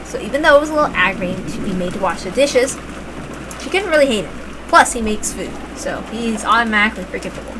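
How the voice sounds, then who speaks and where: A woman's voice: mid-range voice, animated, fast talker. A woman narrating a story aloud as a reader, expressively and with informal asides. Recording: clear background noise, like rain.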